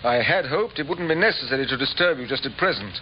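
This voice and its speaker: An actor playing a polite but firm police inspector, formal and measured, in 1950s radio drama. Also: male voice, mid-range voice, animated, natural voice. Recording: muffled, noisy old radio recording.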